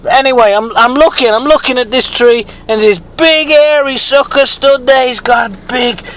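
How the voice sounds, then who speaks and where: A man voicing an eccentric, foul-mouthed rustic bigfoot hunter, husky with a sore throat, telling a halting, rambling, repetitive mock-documentary tale. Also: very high voice, steady tone, fairly bright tone, slight background noise, rough recording. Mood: suspenseful, bewildered.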